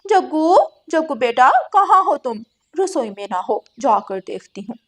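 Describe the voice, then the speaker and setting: A woman's voice: high-pitched, big pitch swings, unhurried, fairly bright tone, full character voice. A woman voicing a long-suffering crow wife and mother in a moral-story cartoon, plaintive and given to sarcasm. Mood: searching.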